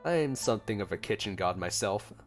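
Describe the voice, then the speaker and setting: A man's voice: fairly low pitch, expressive, a little fast. A man giving casual reaction commentary as a gaming host, playfully talking along with the game's characters. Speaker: gaming video host reacting to a story quest. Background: soft game music.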